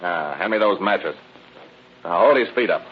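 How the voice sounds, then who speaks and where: An actor playing a rough, slangy, bullying American gangster in 1930s radio drama style. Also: male voice, low voice, animated, moderate pace. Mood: menacing. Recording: roomy, dull, noisy old radio recording.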